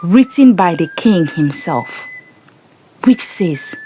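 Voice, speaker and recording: A woman narrating a scripted folktale in a measured, dramatic storytelling manner. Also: on the low side, animated, mellow, a little hiss or hum.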